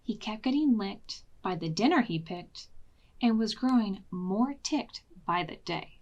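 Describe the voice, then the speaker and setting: A woman reading picture books aloud to young children as a storytime host, with expressive, deliberate phrasing and hushed dramatic asides. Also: medium pitch, dull audio. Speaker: children's librarian hosting preschool storytime.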